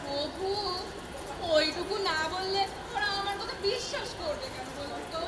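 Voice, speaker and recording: A woman acts a grasping female politician of the ghost world in a stage comedy. Her voice is very high, and she declaims and wheedles. The sound is echoey, with noise and a crowd behind her. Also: animated, moderate pace. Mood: wheedling, scheming. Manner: declamatory, wheedling, rabble-rousing.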